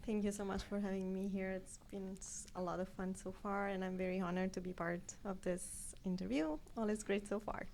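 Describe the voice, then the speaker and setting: A woman's voice: medium pitch, little pitch movement, a little fast, few pauses, darkish tone, a little hiss or hum. A woman in her thirties from Italy with an Italian accent, chatting as an interview guest and prone to long tangents. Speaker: guest scientific editor. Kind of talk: conversational interview storytelling.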